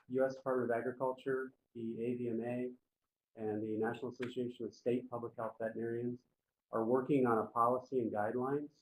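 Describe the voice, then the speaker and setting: A man's voice: medium pitch, darkish tone, low-quality recording. Plain-spoken man briefing over faint noise. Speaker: public health presenter. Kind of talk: informal explanatory briefing on a conference call.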